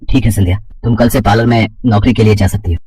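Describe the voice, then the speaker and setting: A man voicing a worried, serious young married son in a cartoon story, husky and slightly distant from the microphone. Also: fairly low pitch, steady tone, fast talker, warm tone, slight background noise, close to an everyday voice. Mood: resigned. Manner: troubled, serious.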